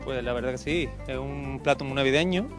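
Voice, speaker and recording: A man's voice: medium pitch, a little fast, over music. A man, a chef explaining a recipe step by step. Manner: explaining steps plainly. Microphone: reporter's handheld mic.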